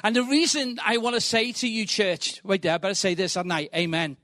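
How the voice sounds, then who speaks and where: Middle-aged Liverpool man, high voice, dry close sound, quick joking guest preacher. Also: steady tone, sharp tone, no room sound. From England. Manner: quick, chatty, joking, punctuates with "right". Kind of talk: sermon.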